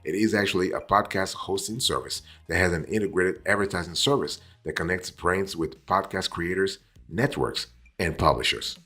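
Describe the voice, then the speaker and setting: A man giving an explanatory review as a host, deliberate in delivery, repeating phrases and checking in with the listener as he goes. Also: sits low, bright, forceful tone, slightly rough. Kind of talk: explanatory software review, semi-scripted.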